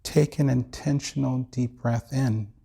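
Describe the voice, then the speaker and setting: A man with a slightly creaky voice guiding a body scan meditation in a measured, soothing way with long pauses. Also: medium pitch, steady tone, dark tone. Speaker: meditation teacher.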